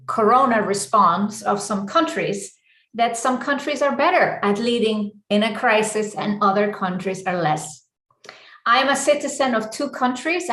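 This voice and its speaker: A woman with an Icelandic accent leads a live online coaching presentation, speaking deliberately in short, emphatic phrases. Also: a little high, a bit bright. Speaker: business coach and session host. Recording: echoey room.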